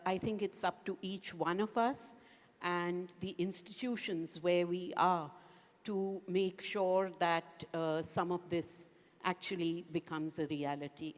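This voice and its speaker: A woman answering as a conference panelist, speaking thoughtfully with many pauses and fillers. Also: fairly low pitch. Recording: echoey conference hall.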